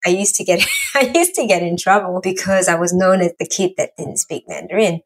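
A woman from Malaysia and Singapore, a podcast guest telling her life story in an animated way, with laughs and restarts. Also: lowish voice, brisk, breathy edge. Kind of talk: casual interview storytelling.

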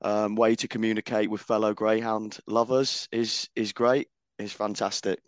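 Croaky man chatting casually. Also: on the low side, level tone, moderate pace, dry recording. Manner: chatty, loose, with many restarts. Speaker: co-host. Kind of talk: casual podcast chat.

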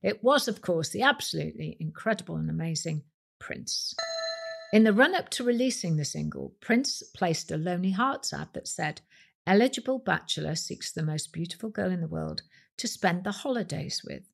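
A woman's voice: fairly low pitch, expressive, moderate pace, creaky, breathy edge. A woman with a British accent hosting a quiz podcast, reading scripted questions and answers to listeners.

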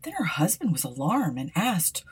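A woman reading an old fairy tale aloud for a podcast, often dropping to a hushed whisper. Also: fairly low pitch, huge pitch swings, normal speed, husky. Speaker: podcast storyteller.

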